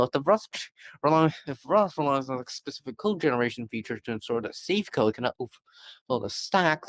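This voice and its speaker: A man reading a technical policy document aloud, often stumbling over words and correcting himself. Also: mid-range voice. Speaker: reader.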